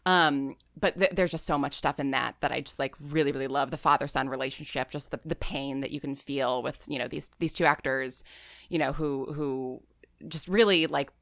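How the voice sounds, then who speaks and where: A woman speaking as a guest in a casual, reflective podcast interview, effusive and halting, with emphatic repetition. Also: extremely low pitch, steady tone, vocal fry, a bit bright, no echo, studio quality. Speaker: interview guest, audio fiction writer and director.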